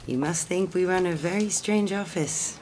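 An actress playing a polite young office secretary in an audio drama, who also narrates in the first person. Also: female voice, on the low side, normal speed, darkish tone, a little hiss or hum, natural voice. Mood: bright, attentive. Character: polite, fond French office secretary, engaged young woman. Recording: music behind the voice.

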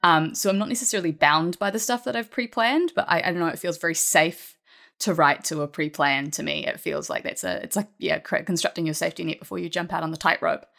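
Woman writer on a podcast, deep for a woman, chatty n rambly, says like a lot. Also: fast talker, creaky, a bit bright. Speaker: podcast guest, audio fiction writer. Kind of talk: casual panel chat.

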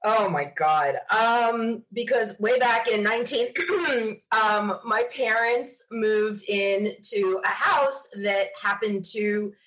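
A woman's voice: mid-range voice, slow pace, fairly bright tone, low-quality recording. An American woman talking casually as a guest in a podcast interview. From South Jersey, United States.

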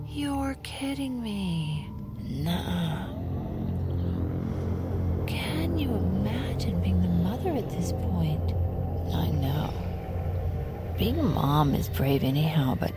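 Gossipy, incredulous female true crime podcaster for audio fiction: drawn-out, animated, hushed drama over music. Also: lowish voice, creaky, exaggerated voice. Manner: slow, dramatic storytelling with hushed emphasis. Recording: loud music behind the voice.

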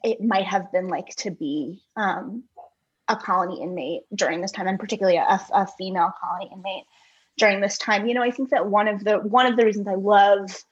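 A woman giving thoughtful interview answers in an earnest, halting way, repeating words as she reasons. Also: mid-range voice, slightly rough, highs cut off. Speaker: guest author, poet and essayist.

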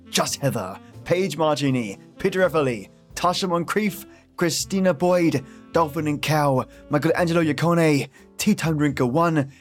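Guy hosting a podcast, dramatic gushing delivery, medium voice, quiet guitar music behind. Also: medium pitch. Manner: dramatic, effusive. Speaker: podcast host. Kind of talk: scripted narration, show outro.

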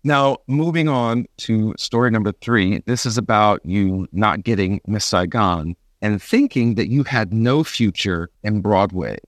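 A man's voice: fairly low pitch, unhurried, few pauses, no echo, clean studio sound. A man interviewing a guest as a podcast host, chatty and sympathetic. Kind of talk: conversational interview.

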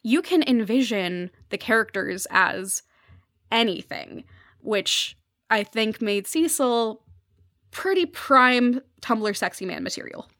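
A woman's voice: fairly high pitch, expressive, takes it slowly, a bit bright, no room sound, clean studio sound. A woman speaking conversationally as a podcast guest, explaining things in a thoughtful, articulate way.

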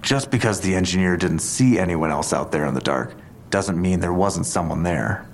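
An actor in an audio drama playing a deliberate, analytical male police detective. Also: low voice, animated, a little hiss or hum. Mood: measured, speculative.

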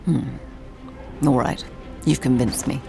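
An actress playing a proud, sardonic, cultured nineteenth-century woman music teacher in an audio drama, with a haughty, dramatic, sharp-tongued manner. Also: very deep voice, fairly even intonation, takes it slowly, gravelly, heavily acted. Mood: determined, persuasive. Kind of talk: audio drama acting with confessional narration.

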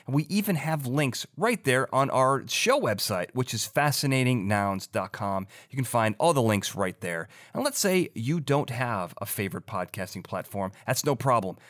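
A man hosting a podcast in an effusive, talkative way, chatting and plugging the show. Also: fairly low pitch. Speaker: podcast host. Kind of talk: chatty interview and sign-off plugs.

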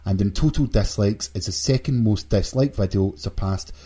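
Male podcast host, husky and soft-edged, mellow tone, casual news chat. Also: fairly low pitch, normal speed. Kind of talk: casual gaming news discussion.

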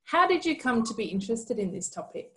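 A woman's voice: on the high side, big pitch swings. Woman hosting an academic podcast. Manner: clear, measured, formal delivery. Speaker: podcast host. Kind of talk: scripted podcast introduction and interview questions.

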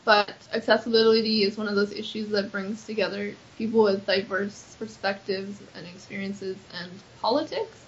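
A woman's voice: mid-range voice, fairly bright tone. A young adult woman, an activist answering interview questions in an earnest, chatty way with frequent "like" fillers. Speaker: accessibility activist. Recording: slightly dull lo-fi recording.

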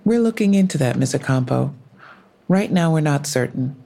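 An actress playing a composed, sympathetic police officer in an audio drama, speaking in a measured, formal and careful way. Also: female voice, sits low, animated, creaky, mellow. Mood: calm, careful. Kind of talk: full-cast audio drama acting.

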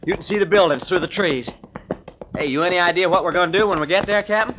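An actor playing a folksy, grumbling cowboy sidekick who talks in drawling slang, in 1940s radio drama style. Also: male voice, sits high, fast talker, fairly bright tone. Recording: scratchy 1940s radio recording.

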